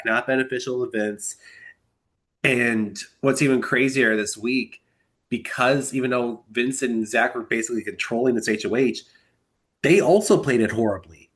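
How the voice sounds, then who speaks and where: A young man with a stuffy, congested voice from a cold hosts his own podcast. He rambles through his commentary and breaks into exasperated asides, his voice sitting at an ordinary middle pitch. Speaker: podcast host. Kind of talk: solo recap commentary.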